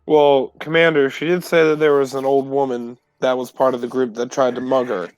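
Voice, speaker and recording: Man playing an earnest young adventurer, clear voice. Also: mid-range voice, flat delivery, brisk, darkish tone, no echo, lightly acted. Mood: defensive. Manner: hesitant, deferential, explaining.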